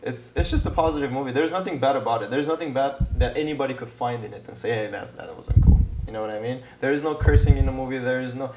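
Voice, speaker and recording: Brief: male talk-show guest, earnest and halting, roomy echoey sound, faint hiss. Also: medium pitch. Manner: earnest, hesitant, many fillers and restarts. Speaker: filmmaker guest. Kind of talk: casual TV interview.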